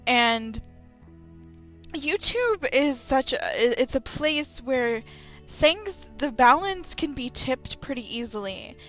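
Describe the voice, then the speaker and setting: A woman's voice: high voice, animated, takes it slowly, sharp tone. A woman giving casual, rambling opinion commentary as a YouTuber, with sarcastic asides. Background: light background music.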